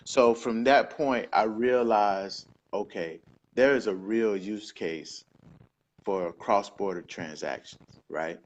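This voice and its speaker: A man's voice: on the low side, slow. A man from Georgia with a Southern accent, a guest telling his story in an easygoing, upbeat way on a video call. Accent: Southern American. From Georgia, USA. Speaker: guest, crypto device distributor. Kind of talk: casual interview over video call.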